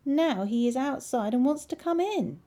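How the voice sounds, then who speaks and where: A woman's voice: sits high, lively intonation, moderate pace, warm tone, voice pushed up. A woman voicing a frightened young princess in a storybook. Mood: pleading.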